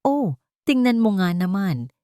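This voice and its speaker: A woman's voice: mid-range voice, very animated, a little slow, dark tone, dry recording, studio quality. A woman playing a haughty, cruel older mother-in-law in a story drama, scornful and accusing. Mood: mocking.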